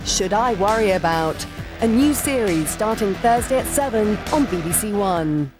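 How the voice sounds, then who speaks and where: A woman's voice: mid-range voice, lively intonation, normal speed, poor audio. A woman reading a scripted voice-over for a television programme trailer. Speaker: television continuity and promo announcer. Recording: voice-over with music behind.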